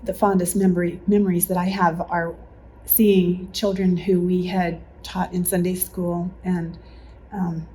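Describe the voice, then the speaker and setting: Unhurried older woman with a mellow voice. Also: lowish voice, level tone, a little hiss or hum, poor audio. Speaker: longtime church member and Sunday school teacher. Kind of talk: personal reminiscence in an oral history interview.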